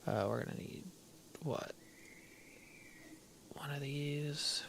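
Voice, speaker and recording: A man's voice: a little high, slow, creaky, a bit bright. A man streaming a game live, thinking aloud as he plays, half-muttering his plans, with a crackly voice from a dry throat. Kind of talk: casual live gameplay commentary.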